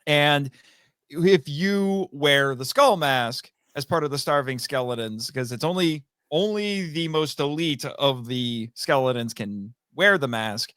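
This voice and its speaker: A man's voice: mid-range voice, fairly bright tone, clear, resonant tone, no room sound. A man appearing as a podcast guest, casually explaining a story in conversation.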